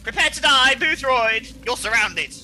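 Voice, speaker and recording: A man's voice: very high voice, expressive, measured pace, pushed and bright, faint music behind, exaggerated voice. A man playing a flamboyant, egotistical villain in a comedy radio play, pompous, petulant and melodramatic. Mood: furious.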